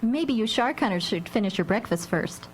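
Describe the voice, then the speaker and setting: A woman playing a caring, reasonable mother in a radio drama, gently teasing. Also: mid-range voice, animated, moderate pace, close to an everyday voice. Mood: motherly, concerned. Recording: live radio recording, noisy background.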